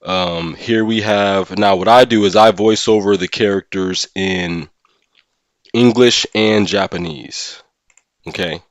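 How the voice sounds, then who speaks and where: A man with a very deep voice hosts a casual gaming livestream. His talk is slangy and conversational, often checking in with listeners. The sound is close and dry, with very little room in it. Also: slow, no echo. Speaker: streamer and host of a gaming channel.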